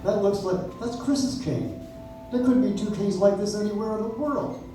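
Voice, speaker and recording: A man's voice: high voice, moderate pace, mellow, slight background noise, lightly acted. A man playing an astonished, earnest man in a Christmas radio play, recorded from far off in an echoey room with music behind. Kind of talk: 1940s radio acting on stage. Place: live stage in a coffee shop.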